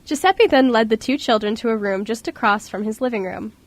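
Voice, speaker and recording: Woman narrator, highish voice, reading a scripted story for a homemade radio drama. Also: fairly quick.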